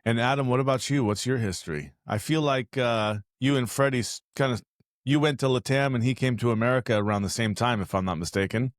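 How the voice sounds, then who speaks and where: A man hosting a relaxed interview show, talking in a loose, rambling way with quick jokes. His voice sits at an ordinary middle pitch, and it is recorded close and dry with no room sound. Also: dry recording. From Southern California. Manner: joking, chatty, rambling. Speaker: podcast host. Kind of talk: casual interview chat.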